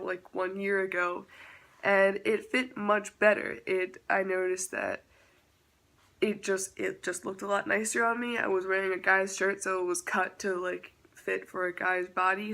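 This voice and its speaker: A man's voice: high voice, little pitch movement, moderate pace, gravelly, sharp tone. A man chatting casually in a vlog update, self-teasing and laughing often, with a stuffy nose from a slight cold. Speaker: vlogger.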